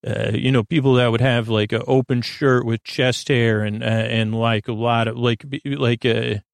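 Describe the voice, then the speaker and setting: Creaky-voiced man rambling through a bedtime monologue. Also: mid-range voice, steady tone, no echo, clean studio sound. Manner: halting, circling, self-interrupting delivery with false starts. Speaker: host of a sleep podcast.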